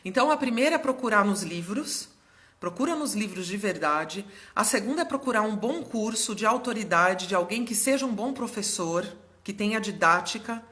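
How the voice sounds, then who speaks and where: Woman, young adult, mentor host on a live stream; bright tone, a bit hoarse, chatty and explanatory, keeps checking in with viewers. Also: mid-range voice, animated, normal speed. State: slightly hoarse.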